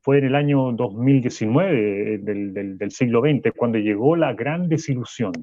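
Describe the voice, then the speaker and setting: A man's voice: medium pitch, moderate pace, dark tone, muffled recording, close to an everyday voice. A man playing a grave, conspiratorial radio host, declaiming dramatically in a radio drama. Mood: ominous. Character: adult male radio host, conspiratorial and solemn.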